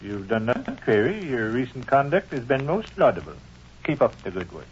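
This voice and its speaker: A man's voice: mid-range voice, sing-song, brisk, slight background noise, natural voice. An actor in an old-time radio comedy playing a sheepish, scheming father who speaks in stilted, flowery, hesitant phrases. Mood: coaxing, evasive. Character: sheepish scheming father, pompously wordy, coaxing his son. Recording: dull, muffled old radio recording.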